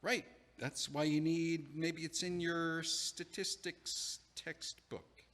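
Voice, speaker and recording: A man's voice: on the high side, steady tone, slow pace, fairly bright tone. A stammering, anxious young man. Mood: nervous. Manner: stammering, nervous, rambling with many restarts. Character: anxious, rambling young man just left by his fiancée. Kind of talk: audio drama acting. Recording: long hall reverb.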